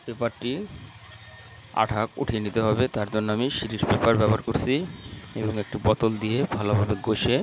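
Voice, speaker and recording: A man's voice: lowish voice, flat delivery, fairly quick, background noise. A man with a Bangladeshi accent narrating a step-by-step repair tutorial in a plain, instructional way. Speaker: electronics repair channel host.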